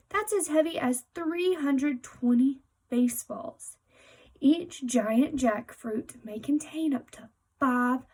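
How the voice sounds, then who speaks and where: Female teacher for picture book read-aloud: slow delivery, soft-edged husky tone, talks directly to kids. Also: high-pitched, poor audio. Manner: explanatory, addressing young listeners directly.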